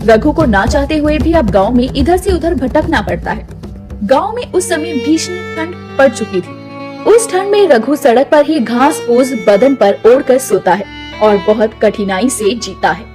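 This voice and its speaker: A woman reads scripted narration for a cartoon tale. Her voice is high and very lively in pitch, with music clearly audible behind her. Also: a little slow.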